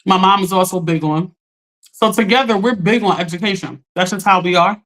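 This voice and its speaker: A woman's voice: brisk, rough recording. A woman hosting a casual livestream, talking straight to her chat while she gets over a lost voice. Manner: chatty, talks straight to chat, animated pitch jumps. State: recovering from lost voice. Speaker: livestream host.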